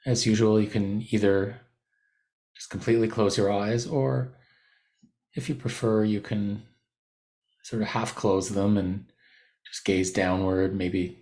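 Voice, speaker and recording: A man leading a guided body scan meditation as a teacher, giving gentle, unhurried instructions with pauses mid-phrase. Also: lowish voice, steady tone.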